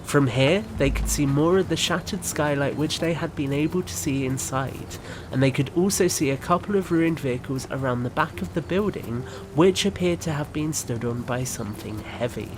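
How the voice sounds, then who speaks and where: A man's voice: fairly high pitch, normal speed, background noise. A man reading scripted third-person story narration for an audio drama.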